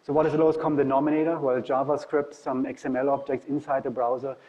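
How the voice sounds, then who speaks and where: Male academic presenter, German accent, medium pitch, informal lecture delivery. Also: level tone, fast talker, dark tone, highs cut off. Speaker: university professor, guest speaker. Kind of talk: informal tech talk presentation.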